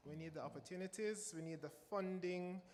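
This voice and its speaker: A man's voice: high voice, a little fast, a little mellow, a little hiss or hum. Jamaican man speaking in a large hall. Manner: emphatic, repeats phrases for stress. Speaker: music professor on a panel. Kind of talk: panel remarks. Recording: reverberant hall with faint ambience.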